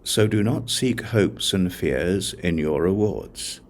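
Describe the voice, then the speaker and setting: A man reading a Buddhist scripture as an audiobook, in measured, deliberate phrases with pauses. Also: low voice, lively intonation. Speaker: audiobook reader.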